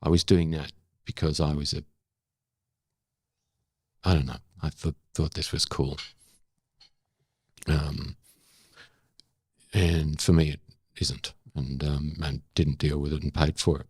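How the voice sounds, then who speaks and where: Deep-voiced older Australian man. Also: flat delivery, darkish tone, husky, dry recording, studio quality. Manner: candid, emphatic, with halting pauses and repetition. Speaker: interview guest, former journalist. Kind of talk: reflective interview talk.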